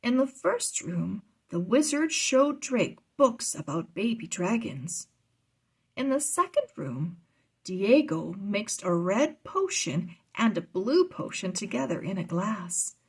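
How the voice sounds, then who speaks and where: A woman's voice: mid-range voice, sing-song, slow, darkish tone, slightly rough. A woman reading a children's chapter book aloud as narrator. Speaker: storybook reader.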